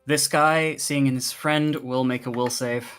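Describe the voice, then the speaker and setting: A man's voice: mid-range voice, fairly even intonation, unhurried, a bit bright, smooth, soft background music. A man running a tabletop fantasy game as game master, talking casually and narrating to the players.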